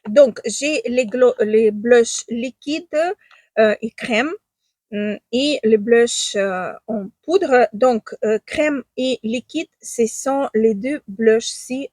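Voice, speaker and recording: A woman who is not a native speaker talks through her favourite makeup in a casual vlog. Her voice sits fairly high and she speaks slowly, pausing on fillers and restarting phrases. The sound is dry and close. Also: a little mellow, no room sound. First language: not French. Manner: hesitant, many fillers and restarts; enthusiastic superlatives. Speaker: beauty vlogger. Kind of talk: casual makeup review to camera. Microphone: own microphone, at times off.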